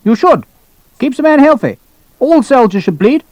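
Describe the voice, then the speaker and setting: A man playing a blustering, high-pitched pompous old-school colonel. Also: big pitch swings, normal speed, darkish tone, dry recording, dull audio. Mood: barking, impatient. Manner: barking, imperious. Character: irascible, pompous, aristocratic British army colonel. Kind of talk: audiobook character voice.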